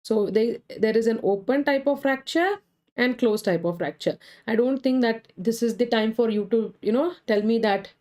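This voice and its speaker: A woman with an Indian English accent, suffering from a cold, teaching a live online medical exam class, answering viewers' comments between points briskly. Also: fairly high pitch, darkish tone. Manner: brisk, reads chat names and answers viewers. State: has a cold.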